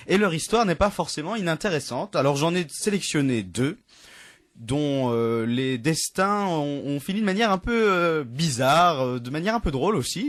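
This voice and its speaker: A young man, a student radio presenter, telling a history anecdote in a chatty way, full of hesitations and restarts, from a radio studio. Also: mid-range voice. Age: young adult. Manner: chatty, many hesitations and restarts, laughs at own jokes. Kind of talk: casual radio storytelling.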